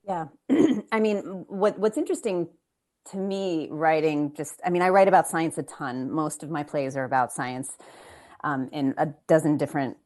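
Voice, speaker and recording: A woman speaking as a playwright on a panel over a video call, expressive and emphatic, with asides and rhetorical questions. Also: low-pitched, moderate pace. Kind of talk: animated conversational panel talk.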